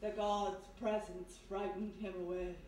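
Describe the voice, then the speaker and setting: A woman playing a solemn spirit medium in a radio mystery, speaking in slow, portentous, drawn-out phrases. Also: mid-range voice, little pitch movement, darkish tone, natural voice. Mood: ominous warning. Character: solemn, ominous female spirit medium channelling the dead. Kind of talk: live 1940s-style radio mystery acting. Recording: echoey hall, distant stage mic.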